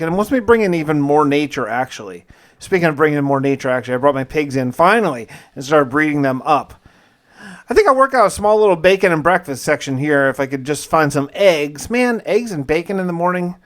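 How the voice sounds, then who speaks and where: A man's voice: a little high, a bit bright. A man hosting a gaming video, narrating his play casually in the first person with wry, conversational musing.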